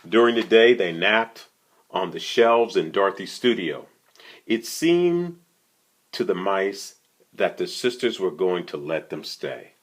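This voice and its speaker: Deep, husky, slow-paced storytime reader, male. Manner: conversational, often asks the listener questions. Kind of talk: reading a picture book aloud, with casual asides to young listeners.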